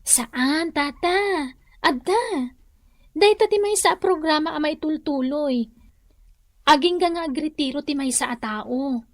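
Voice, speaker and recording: A woman's voice: high-pitched, measured pace, long pauses, no room sound. An actress playing a helpful, respectful young woman in an Ilocano radio drama, politely explaining things with an Ilocano accent. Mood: reassuring. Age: young adult.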